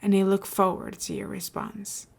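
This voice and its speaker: A woman reading the part of a calculating male mayor and would-be villain in a story, persuasive, measured and businesslike. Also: mid-range voice, level tone, vocal fry, darkish tone, husky.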